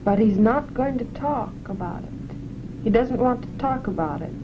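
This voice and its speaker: A woman answering questions as a subject in a filmed test, making simple, insistent statements, often slowly. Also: a little high, animated. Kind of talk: unscripted answers in an experiment. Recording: dull, hissy 1940s film soundtrack.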